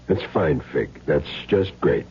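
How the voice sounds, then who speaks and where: A man's voice: extremely low pitch, expressive, slow pace, slight background noise, exaggerated voice. An actor playing a cool, terse, matter-of-fact hitman in a 1970s radio drama. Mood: businesslike. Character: Jim Derry, cool laconic hitman back in town. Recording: dull lo-fi old radio recording.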